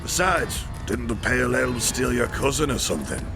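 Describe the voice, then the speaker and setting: A man's voice: medium pitch, lively intonation, normal speed, vocal fry, over music. A man playing the cocky leader of a band of fantasy mercenary hunters, speaking in a wry, dryly amused drawl for an audio drama. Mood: wry, teasing.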